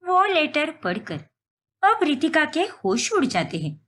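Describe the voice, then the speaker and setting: High, animated woman storyteller. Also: a little slow. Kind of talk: scripted Hindi story narration.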